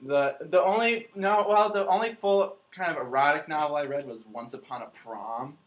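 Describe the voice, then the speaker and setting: Hesitant young man with a bright voice. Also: fairly high pitch, moderate pace. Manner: hesitant, dry humor. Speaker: podcast guest reader. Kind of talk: casual chat.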